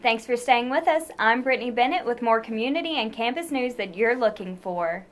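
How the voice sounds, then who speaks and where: A young woman anchoring a college news show and reading scripted stories in a polished, even way. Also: fairly high pitch, brisk, soft background music.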